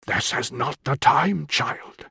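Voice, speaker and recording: A man playing a stern, anguished old father and chieftain in an audiobook, forceful and grave. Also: mid-range voice, takes it slowly, gravelly, slightly rough, dry recording, clean studio sound, voice pushed up, full character voice.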